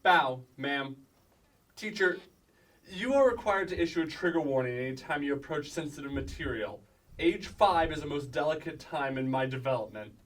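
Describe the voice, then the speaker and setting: A man's voice: a little high, moderate pace, natural voice. A man acting a prim, easily wounded five-year-old boy with formal, indignant, precise speech in a sketch comedy reading. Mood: prim, aggrieved. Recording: slightly distant microphone.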